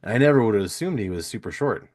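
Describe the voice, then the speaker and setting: Laid-back radio dj guy chatting as a guest, lowish voice. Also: animated, very fast, darkish tone. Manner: easygoing, short agreeing replies. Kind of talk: casual interview chat.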